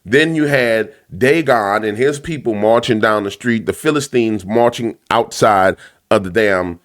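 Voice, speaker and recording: A man hosting a commentary show, ranting loudly and emphatically with a repetitive, preacher-like cadence. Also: fairly low pitch, unhurried. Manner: loud, big voice. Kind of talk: fiery commentary rant.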